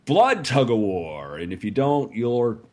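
A man with a raspy, hoarse voice, co-hosting a casual podcast chat and telling an animated story that he slows down for comic effect. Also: mid-range voice. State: hoarse from screaming. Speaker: podcast co-host.

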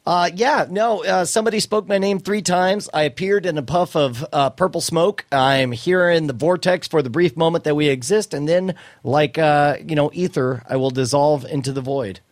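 A man's voice: on the high side, moderate pace, hardly any pauses, dry recording, clean studio sound. A man chatting casually as a podcast co-host, joking with theatrical turns of phrase. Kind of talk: casual chat.

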